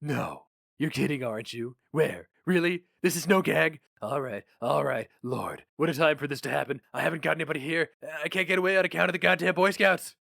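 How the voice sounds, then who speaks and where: A man voicing a brash, cynical, fast-talking male publicity boss, barking and emphatic, in a story reading. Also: a little high, gravelly, fairly bright tone, dry recording, studio quality. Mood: excited urgent.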